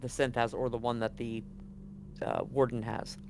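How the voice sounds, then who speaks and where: Halting male game master, mid-pitched. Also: level tone, gravelly, darkish tone, faint music behind. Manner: halting, repeats words while thinking. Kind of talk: casual tabletop game narration.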